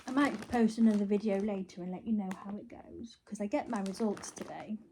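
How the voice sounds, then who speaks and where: A woman's voice: mid-range voice, brisk, mellow, slight background noise, rough recording. A British woman vlogging casually on her phone, rambling playfully and half talking to herself as she goes. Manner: chatty, playful, talks and mutters to self. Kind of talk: casual rambling chat to camera while wrapping gifts.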